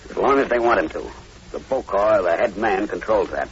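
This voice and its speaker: An actor playing a middle-aged plantation owner, tense, clipped and authoritative, in old radio horror drama style. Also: male voice, lowish voice, expressive, normal speed, lightly acted. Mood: grave. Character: tense, commanding American plantation owner, grimly believing in zombies. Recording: dull lo-fi old radio recording, hiss.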